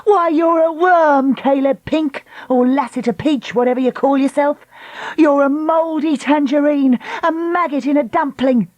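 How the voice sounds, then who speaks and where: A woman's voice: a little slow, few pauses, mellow, dry recording, dull audio. A woman voicing a furious middle-aged mother who shrieks insults. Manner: shrill, screeching insults.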